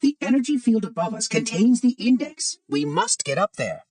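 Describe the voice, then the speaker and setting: A man's voice: extremely high pitch, very animated, moderate pace, a little mellow, no room sound, far from the mic. A man playing a prim, fussy, ancient robot monitor in a video game, speaking in a formal, precise, oddly cheery way with light robotic processing. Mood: polite, brisk. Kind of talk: video game character acting.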